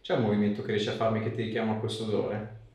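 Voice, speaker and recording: A man's voice: on the low side, little pitch movement, rapid-fire, darkish tone. Echoey man examining a patient. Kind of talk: clinical consultation, questions and instructions. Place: small clinic treatment room, indoors. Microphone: camera mic a few metres away. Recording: very echoey room, distant mic.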